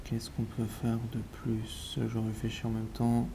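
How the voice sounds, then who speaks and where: A man's voice: mid-range voice, monotone, unhurried, creaky, noisy background. A man with a cold, musing aloud over basketball stats, muttering and repeating words while he eats. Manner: eating while talking. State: has a cold. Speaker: sports betting analyst. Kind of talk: casual thinking-aloud match analysis.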